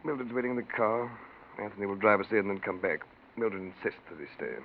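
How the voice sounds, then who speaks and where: An actor playing an earnest, exasperated and protective suitor in a 1940s radio mystery, emphatic and theatrical. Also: male voice, fairly low pitch, quick pace, lightly acted. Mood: resigned. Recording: tinny, noisy 1940s radio recording.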